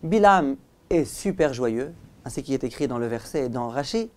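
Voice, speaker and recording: Mellow-voiced rabbi telling a story. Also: male voice, mid-range voice, expressive. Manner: dramatic pauses, addresses listeners as friends. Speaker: rabbi, teacher. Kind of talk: religious lesson told as animated storytelling.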